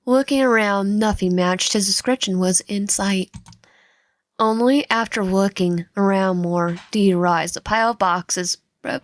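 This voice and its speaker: Woman haltingly reading a game aloud, dry sound. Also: medium pitch, no room sound. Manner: halting, stumbling reader who corrects herself. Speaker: streamer reading a visual novel aloud. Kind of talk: reading game text aloud with asides and character lines.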